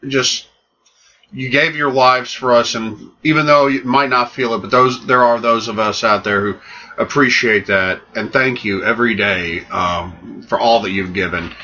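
A man co-hosting a podcast in casual chat, joking and halting over his words with fillers. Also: mid-range voice, fairly even intonation, pushed and bright, slight background noise. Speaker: podcast co-host. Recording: dull lo-fi podcast audio.

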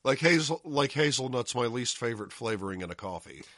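A man with a Southern US accent, trading teasing, profane jabs as a podcast co-host. His pitch swings widely as he talks, and his voice is bright and crisp. The recording is close and dry. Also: expressive, fairly quick, no room sound. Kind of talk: casual podcast banter.

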